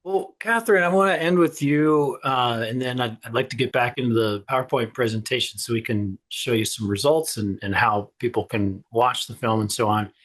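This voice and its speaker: A man hosting an online panel on a video call, relaxed and asking questions with casual fillers. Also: mid-range voice, normal speed, hardly any pauses. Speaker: host.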